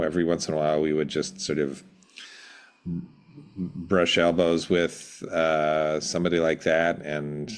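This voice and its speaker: A middle-aged man reminiscing casually as an interview guest, reflective and halting, often breaking off mid-word. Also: extremely low pitch. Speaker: podcast guest, musician.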